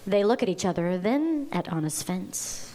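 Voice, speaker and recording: A woman's voice: mid-range voice, expressive, moderate pace, mellow, slight background noise. A woman reading stage directions as scripted narration at a live table read.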